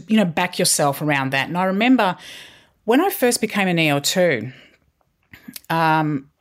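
A middle-aged woman, a communications leader, telling stories as a podcast guest in an earnest way with frequent restarts. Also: fairly low pitch. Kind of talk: podcast interview, candid storytelling.

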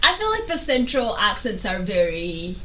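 A woman's voice: mid-range voice, wildly swooping pitch, moderate pace, bright, forceful tone, a little hiss or hum. A young woman in casual, slangy chat, opinionated and emphatic.

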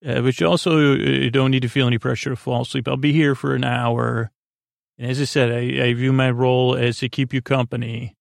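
A man hosting a sleep podcast in a gravelly voice, rambling through a halting, meandering bedtime monologue full of tangents and broken-off sentences. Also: mid-range voice, flat delivery, no room sound, studio quality. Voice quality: gravelly, creaky voice. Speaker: podcast host.

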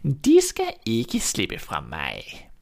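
A man voicing a cruel, greedy old witch with a thin, crackly voice and slow, drawn-out speech. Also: on the low side, wildly swooping pitch, creaky, darkish tone, full character voice. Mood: menacing.

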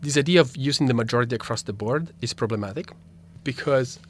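A man's voice: mid-range voice, quick pace. A young man, a native Italian speaker with an Italian accent, explaining his philosophy research as a radio interview guest, thoughtful, with frequent restarts. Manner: thoughtful, many restarts and fillers. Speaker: philosophy graduate student guest.

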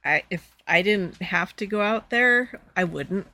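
Easygoing woman with short, calm answers. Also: lowish voice, dry recording. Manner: brief, easygoing answers, unhurried. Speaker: podcast co-host. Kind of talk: casual podcast conversation.

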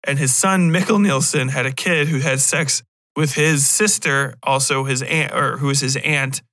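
A man's voice: mid-range voice, fairly even intonation, moderate pace, pushed and bright, no echo. An American man hosting a casual solo podcast, rambling through a review in a blunt, irreverent, profane way. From United States. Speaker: podcast host.

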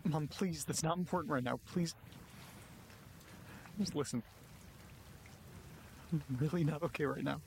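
An actor playing an anxious, distressed young man on a phone call to his mother in an audio drama, halting and pleading as he talks. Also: on the high side, vocal fry, a little mellow, a little hiss or hum. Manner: hesitant, halting, faltering, pleading.

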